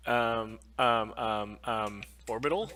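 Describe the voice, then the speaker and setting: A man's voice: on the low side, takes it slowly, pushed and bright. A man co-hosting a podcast in casual live-stream chat. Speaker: podcast co-host.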